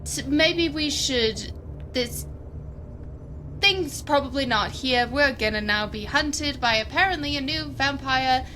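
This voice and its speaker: A woman with a slightly high voice plays a tabletop roleplaying game, thinking aloud slowly and with hesitant pauses. There is clear noise in the background of her recording. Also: bright, forceful tone, low-quality recording. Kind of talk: casual livestreamed game play. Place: indoors at home.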